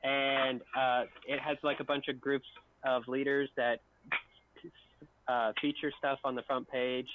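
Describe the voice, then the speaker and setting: A man's voice: medium pitch, level tone, measured pace. A man co-hosting a casual group chat, heard over a thin connection. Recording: thin phone-like band-limited line.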